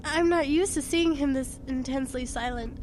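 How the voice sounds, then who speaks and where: Actress doing a nervous wry woman in a radio drama, high voice, some noise behind her. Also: normal speed, a little mellow, close to an everyday voice. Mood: uneasy, reflective. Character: anxious young Florida woman, chatty, wryly dramatic. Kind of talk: radio drama acting with narrated inner monologue.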